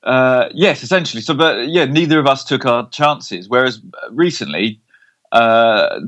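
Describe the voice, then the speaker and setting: A man's voice: medium pitch, animated, normal speed, fairly bright tone, highs cut off. A man with an English accent chatting casually about football as a podcast co-host, wordy and hesitant, often tagging statements with a question.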